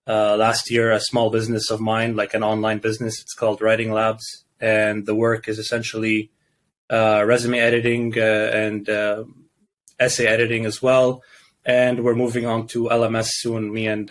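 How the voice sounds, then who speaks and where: A young man born and raised in Canada, a guest in a casual interview, who talks haltingly with many restarts and fillers. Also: fairly low pitch, completely flat delivery.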